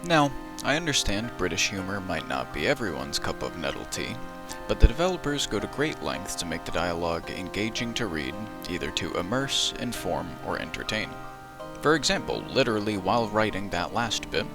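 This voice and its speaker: A man's voice: sits low, big pitch swings, moderate pace, over music. A man in his adult years giving scripted, dry and wry voice-over narration as a video essayist. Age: adult who played as a child in the early 2000s.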